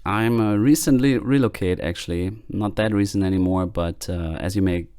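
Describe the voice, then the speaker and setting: Man, German accent, late 30s, podcast host, very deep voice, halting with fillers, dry close sound. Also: darkish tone, clean tone, dry recording. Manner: hesitant, many fillers and restarts. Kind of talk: casual solo podcast talk.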